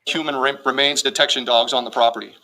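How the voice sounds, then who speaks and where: A man's voice: mid-range voice, steady tone, quick pace, bright, forceful tone, slight background noise. A man reading out a formal press statement as a police spokesman, in official wording.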